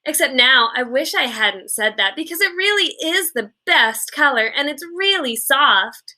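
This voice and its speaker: A woman's voice: high-pitched, expressive, unhurried, pushed and bright, full character voice. A woman voicing a chatty, haughty fifth-grade girl from a children's book, gushing in run-on sentences. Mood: gossipy, gushing.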